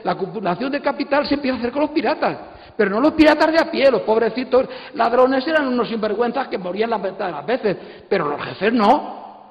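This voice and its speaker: An older man giving an impassioned, emphatic, digressive talk on a conference stage into a handheld microphone. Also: sits high. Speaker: panel speaker, comics artist. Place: auditorium stage. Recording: echoey hall PA, muffled.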